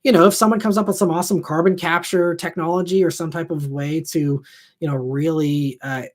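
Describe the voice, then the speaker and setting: A man's voice: fairly high pitch, steady tone, fairly quick. A man in his thirties hosting a live stream, answering viewer questions in a rambling, casual way full of fillers. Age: 30s. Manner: rambling, heavy on fillers like "you know" and "I mean". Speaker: host.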